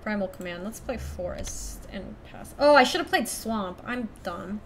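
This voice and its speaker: A young woman from Ecuador chatting casually through a card game stream, thinking out loud and laughing often. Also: medium pitch, expressive, unhurried, slight background noise. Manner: chatty, giggly, thinks aloud to chat. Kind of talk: casual live-stream commentary.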